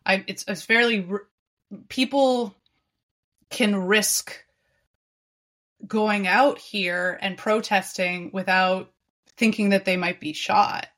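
A woman's voice: medium pitch, fairly even intonation, slow, long pauses, a bit bright. A woman hosting a podcast interview, speaking reflectively and searching for her words as she goes. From Canada. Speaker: podcast host. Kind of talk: casual conversational interview.

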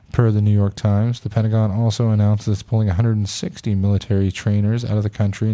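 A man's voice: fairly low pitch, mellow. A man hosting a casual podcast, chatting bluntly and reading news posts aloud. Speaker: podcast host.

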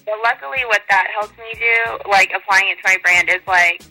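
A woman's voice: mid-range voice, moderate pace, bright, forceful tone, soft background music, low-quality recording. A young woman DJ answering interview questions in a chatty, upbeat way with lots of fillers. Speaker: guest DJ.

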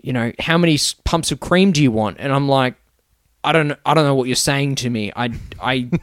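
A man's voice: mid-range voice, brisk, a bit bright, no echo, studio quality. Swearing Australian man telling stories. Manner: swearing, joking, storytelling. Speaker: podcast guest. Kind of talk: casual podcast chat.

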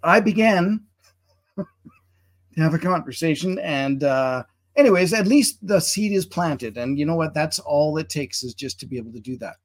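A man's voice: on the high side, animated, normal speed. A man hosting a live webinar over a video call, chatty and promotional, with many filler words as he introduces a guest. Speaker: webinar host.

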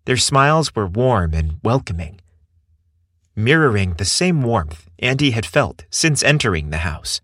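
A man's voice: fairly low pitch, very animated, a little slow, a bit bright, no room sound, clean studio sound. A man reading a scripted story as its narrator.

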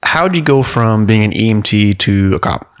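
Low-voiced relaxed male podcast host. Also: normal speed, clear, resonant tone. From California. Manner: relaxed, easygoing questioner. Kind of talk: casual interview chat.

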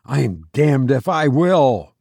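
A man acting snappish storybook sailor, impatient, demanding, animated pitch, slow emphatic pace. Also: vocal fry, dry recording, clean studio sound, heavily acted. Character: George, snappish, astonished male sailor on night watch. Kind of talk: audiobook character acting.

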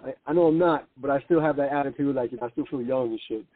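A husky-voiced man in his late forties talks casually in an interview. His speech is informal and full of slang, at an average pitch. The audio is muffled and lo-fi. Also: quick pace, dry recording. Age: late 40s. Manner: slangy, informal, peppers talk with "bro" and "man". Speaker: comedian, interview guest. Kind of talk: casual conversational chat.

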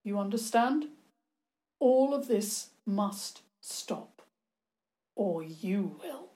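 Woman acting out a slow, menacing, cruel lord. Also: medium pitch, lightly acted. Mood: quiet menace. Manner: sarcastic, growling, quietly threatening. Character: cruel, sneering lord, menacing older uncle.

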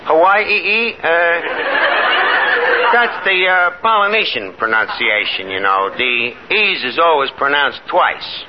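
Blustering New York tavern manager, old radio. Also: male voice, fairly high pitch, sing-song, takes it slowly. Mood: boastful, dreamy. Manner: pompous, grumbling, mangles words. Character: blustering, scheming tavern manager, a man full of malapropisms. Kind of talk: 1950s radio comedy acting. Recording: noisy, muffled old radio broadcast recording.

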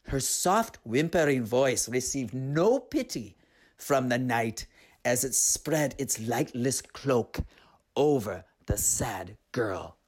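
A woman reading a story aloud as an expressive narrator. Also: rumbling low, takes it slowly.

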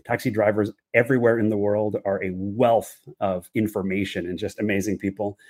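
Low-pitched man, reflective podcast guest. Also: level tone, fairly quick, darkish tone. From United States. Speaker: podcast guest, economist and development specialist. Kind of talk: conversational interview answer.

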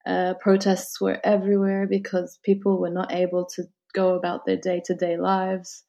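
Gravelly, mellow-voiced woman from Lebanon. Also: lowish voice, completely flat delivery, moderate pace, highs cut off. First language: French. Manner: thoughtful, many restarts and fillers. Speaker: travel concierge. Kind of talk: interview answers.

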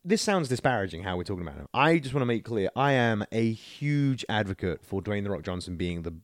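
A man's voice: fairly low pitch, very animated, fairly quick, no echo, clean studio sound. A man co-hosting a podcast, chatting casually about entertainment in a rambling, sweary way. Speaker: podcast host. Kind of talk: casual film and video game chat.

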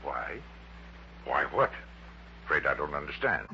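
An actor playing a polished, evasive company boss in a 1960s radio drama, formal with an indignant edge. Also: male voice, rumbling low, sing-song, unhurried, creaky, pushed and bright, full character voice. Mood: guarded, indignant. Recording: muffled vintage radio with faint hum.

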